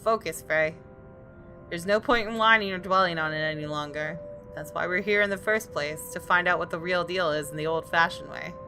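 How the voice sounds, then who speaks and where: A woman's voice: fairly low pitch, moderate pace, pushed and bright, faint music behind. A woman gaming host reading visual novel narration aloud, sometimes in a casual conversational style.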